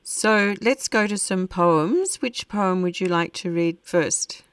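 A woman interviewing a poet, asking questions slowly and appreciatively, with fillers. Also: lowish voice, clean tone, no room sound. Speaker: radio interviewer.

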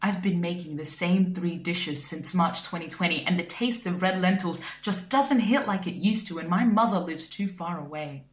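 Woman reading her poem slow and thoughtful, echoey room. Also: lowish voice. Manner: measured, reflective recitation. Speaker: poet. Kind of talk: spoken word poetry. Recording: roomy echo.

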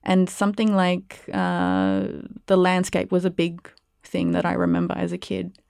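A woman's voice: fairly low pitch, a little slow, vocal fry, darkish tone, no room sound, studio quality. A young Australian woman, a guest whose first language was Spanish, answering interview questions in a reflective way with many ums and likes. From Perth, Western Australia. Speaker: guest, arts curator and producer. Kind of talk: casual interview.

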